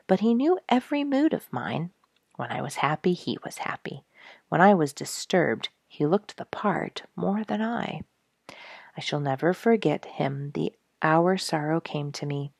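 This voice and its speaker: A woman narrates a storybook in a low voice with a gravelly creak at the edges. She reads in measured, expressive phrases, like a host telling a tale. The sound is dry, with very little room around it. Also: no echo. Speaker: story reader, show host. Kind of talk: scripted storybook narration read aloud.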